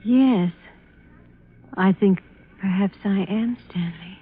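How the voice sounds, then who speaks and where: An actress in 1940s radio style playing a frail, submissive woman, breathy, halting and meek, as if dazed. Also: mid-range voice. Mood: dazed, weak. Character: frail, submissive woman under her husband's spell. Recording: muffled old radio recording.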